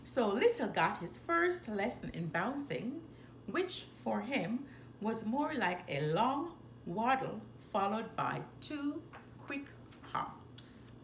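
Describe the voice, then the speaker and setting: A woman reading a children's story aloud with expressive, animated, deliberate phrasing. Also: on the high side. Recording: roomy echo, faint background noise.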